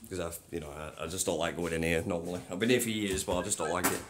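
A man who cleans a train station, giving a casual walking tour on his phone, chatty and rambling, with a deep voice. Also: steady tone, quick pace, noisy background. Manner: chatty, rambling, says "you know what I mean". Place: old train station toilets and platforms at night. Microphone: handheld phone.

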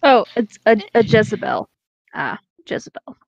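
A woman bantering casually and reading aloud haltingly as a co-host among friends. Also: medium pitch, sing-song, gravelly. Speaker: co-host reading bad fan fiction aloud. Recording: dull, roomy home recording.

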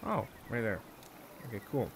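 A man hosting a let's play, giving casual, excitable gameplay commentary and thinking out loud. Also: medium pitch, moderate pace, vocal fry, slight background noise.

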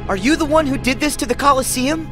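A man's voice: extremely high pitch, fast, over music. An actor playing an earnest, plucky teenage boy hero in a video game, forthright and spirited. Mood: indignant. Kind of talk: animated video game voice acting.

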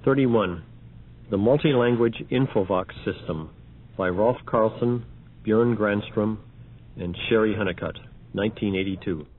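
A man reading scripted announcements for an audio demonstration in a measured, matter-of-fact way. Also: on the low side, husky. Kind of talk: scripted announcing of numbered examples. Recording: muffled, phone-like archival recording.

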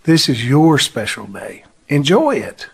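A man playing a calm, affectionate father in a radio drama. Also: mid-range voice, unhurried, vocal fry, breathy edge, exaggerated voice.

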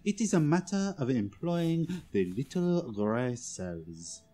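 A fussy little Belgian detective as a man acts him in a radio drama. His French-accented speech is formal and exacting, in a soft, dark tone. There is faint noise behind him. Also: medium pitch, big pitch swings, takes it slowly. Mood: pompous. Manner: precise, formal, pedantic. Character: fussy, proud, courteous Belgian male detective. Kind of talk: amateur radio play acting.

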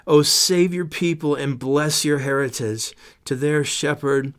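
A man in his fifties preaching a sermon, reading scripture with rising emphasis and dropping to a hushed tone for prayers, on a desktop studio microphone in a small indoor studio. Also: a little high, measured pace. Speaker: pastor. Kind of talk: sermon teaching through a psalm. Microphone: large desktop studio microphone.

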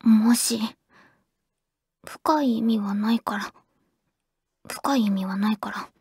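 Breathy, bashful anime schoolgirl. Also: fairly high pitch, slow, no room sound, studio quality, heavily acted. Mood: flustered, embarrassed. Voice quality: soft, breathy, whispery. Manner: hesitant, stammering, bashful. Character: shy awkward teenage girl phoning her childhood-friend crush. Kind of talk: anime audio drama, intimate ASMR phone-call acting.

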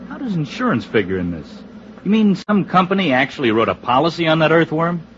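A muffled, faintly noisy 1950s radio recording of a flippant man. His fairly high voice swings around freely. He talks in breezy, sarcastic slang. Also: sing-song, fairly quick, fairly bright tone. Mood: scornful. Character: flippant, slangy man, a cheerful self-declared coward. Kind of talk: 1950s radio drama acting.

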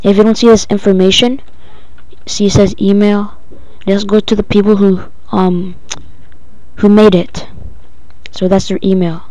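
Flat-toned tutorial voice, female-sounding, dull recording. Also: mid-range voice, dry recording. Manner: halting, improvised step-by-step instructions. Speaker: tutorial presenter. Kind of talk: casual screen-recorded software tutorial.

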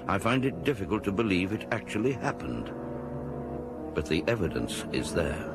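Earnest male space crewman, lowish pitch, very lively intonation, dramatic radio-play delivery. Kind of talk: 1980s radio science-fiction drama acting.

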